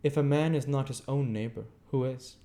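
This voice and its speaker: A man voicing a contrary, moralizing gentleman in an audiobook, with an emphatic, sententious delivery. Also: mid-range voice, fairly even intonation, normal speed, pitched above the performer's own voice, lightly acted. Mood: dry retort.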